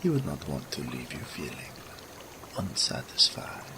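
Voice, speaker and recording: A man playing a seductive, possessive and doting male naga in an intimate romantic audio roleplay, speaking slowly in soft murmurs that drop into whispers. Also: very deep voice, sing-song, gravelly, background noise, exaggerated voice. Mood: seductive, soothing. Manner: slow, third-person speech, soft murmurs dropping to whispers.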